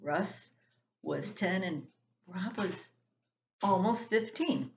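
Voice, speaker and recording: A woman's voice: fairly low pitch, animated, takes it slowly, a little hiss or hum. A woman in her seventies reading her own personal story aloud in a plain-spoken way at home. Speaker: columnist reading own work. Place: small room at home, indoors. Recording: roomy home echo.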